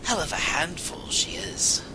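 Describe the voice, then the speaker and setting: A man's voice: measured pace, noisy background, lightly acted. A man playing a dry, wry mercenary in an audio drama. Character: sardonic male mercenary briefing his team.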